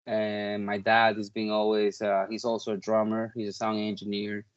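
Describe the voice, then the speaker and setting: A man's voice: lowish voice, completely flat delivery, moderate pace, smooth, muffled recording. A man in his thirties from Peru, a native Spanish speaker, chatting casually and talkatively as an interview guest. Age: 30s. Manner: chatty, peppered with "like" and "you know". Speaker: interview guest, touring drummer.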